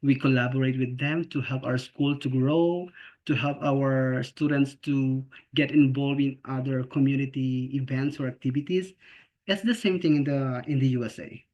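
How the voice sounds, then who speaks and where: A man's voice: mid-range voice, fairly even intonation, normal speed. Earnest Filipino-accented man presenting. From Philippines. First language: not English. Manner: earnest, repeats and restarts words, asks "okay?" and "right?" often. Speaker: special education teacher presenting to international teachers. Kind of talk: informal presentation in a video call. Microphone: video call. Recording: slightly dull lo-fi video call audio.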